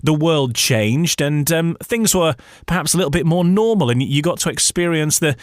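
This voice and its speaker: A man's voice: on the high side, animated, moderate pace, dry recording, clean studio sound. A man hosting a veterans' radio chat show, interviewing a guest and often repeating words as he searches for the next phrase. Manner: hesitant, many repeated words when ad-libbing. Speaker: radio host.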